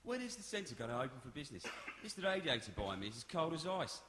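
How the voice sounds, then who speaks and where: Man playing quick, cheeky committee grumbler. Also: on the high side, big pitch swings. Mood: grumbling. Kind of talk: stage play acting. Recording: noisy echoey hall, live performance.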